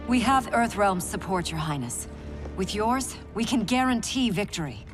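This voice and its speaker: Game heroine, female actor: poised royal fighter, medium pitch, persuasive and resolute, clear music behind. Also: animated, normal speed, lightly acted. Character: determined, persuasive young warrior princess.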